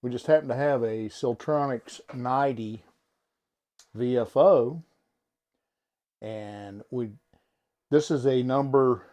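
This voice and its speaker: A man giving a casual product demonstration in a folksy, halting, unscripted way, as someone who works on radio gear. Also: medium pitch, dark tone, lo-fi sound. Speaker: radio seller and technician demonstrating equipment.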